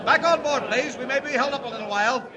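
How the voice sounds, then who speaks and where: An actor playing a gruff, suspicious, excitable train conductor with folksy, scolding bluster in a 1940s radio serial. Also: male voice, very high-pitched, quick pace, highs cut off, crowd noise. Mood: alarmed. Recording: old 1940 radio with loud music.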